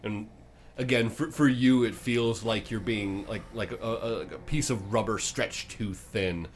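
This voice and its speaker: A man running a tabletop role-playing game, improvising narration with hesitant phrasing and dramatic pauses. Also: on the low side, steady tone, a little hiss or hum. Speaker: game master.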